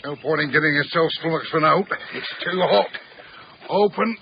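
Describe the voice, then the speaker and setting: An actor playing a gruff, cheeky working-class Yorkshireman, blunt and slangy, in a naturalistic radio drama. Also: a little high, normal speed. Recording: dull radio recording with some background noise.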